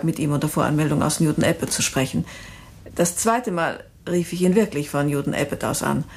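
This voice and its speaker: An actress playing a resolute, middle-aged grieving mother in a radio drama, speaking firmly and with composure. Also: deep voice. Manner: firm, composed.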